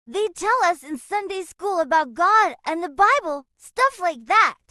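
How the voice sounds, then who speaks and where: Woman as high-pitched, cocky young boy. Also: animated, a little slow, bright, forceful tone, no echo, clean studio sound, heavily acted. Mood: scornful. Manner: argumentative, scornful, emphatic. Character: cocky, skeptical young boy, a teasing older brother.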